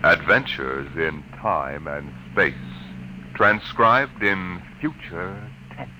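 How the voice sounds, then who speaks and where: A man announcing an old-time radio show in a portentous, drawn-out, dramatic style. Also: sits low, pushed and bright, slight background noise, low-quality recording.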